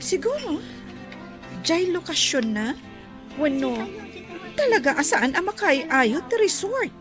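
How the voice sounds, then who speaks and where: A woman's voice: sits high, sing-song, slow, full character voice. A woman playing a worried resort-owning madam in an Ilocano radio drama, speaking earnestly and with animation. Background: music under the voice.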